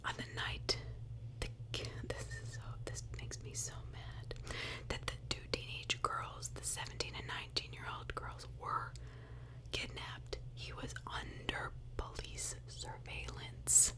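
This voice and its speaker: A woman telling true crime as ASMR in a breathy, unvoiced whisper, conversational and opinionated, with rhetorical questions. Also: unhurried.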